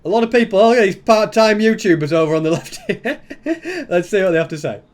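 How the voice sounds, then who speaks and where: A man's voice: sits high, lively intonation, a little fast, a little mellow. A man giving casual reaction commentary as a YouTube host, rambling and thinking aloud as he goes.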